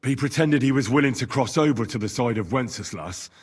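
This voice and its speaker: A husky, earnest young man in service to lords for a medieval game. His questioning delivery moves lively up and down, ringing with long hall reverb. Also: medium pitch, a little fast. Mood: contrite, indignant. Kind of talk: dramatic video game cutscene acting.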